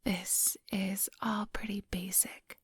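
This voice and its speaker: Slow, whispering vampire woman, acted by a woman. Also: medium pitch, flat delivery, no echo, studio quality. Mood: smooth, appraising. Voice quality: breathy, whispery. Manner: slow, unhurried, silky and patronizing. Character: centuries-old vampire woman, politely condescending, quietly menacing boss. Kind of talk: ASMR roleplay. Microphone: close microphone.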